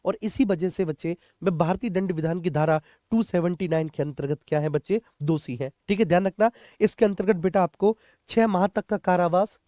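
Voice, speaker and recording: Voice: medium pitch, a little fast, dark tone, no echo, studio quality. An exam coaching teacher, lecturing students familiarly, repeating key points and often checking that they understand. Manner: addresses students familiarly as children.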